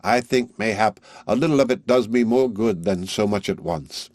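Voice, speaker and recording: A man doing a gentle old village father. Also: fairly low pitch, dry recording, lightly acted. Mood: subdued. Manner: subdued, slow, tender.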